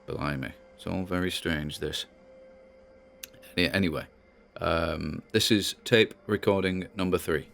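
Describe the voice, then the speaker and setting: A man's voice: low-pitched, steady tone, a little slow, gravelly, fairly bright tone, a little hiss or hum, heavily acted. A British man playing an obsessed amateur investigator, musing conversationally into an audio diary in a drama. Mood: musing, intrigued. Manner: conversational, musing, muttered asides.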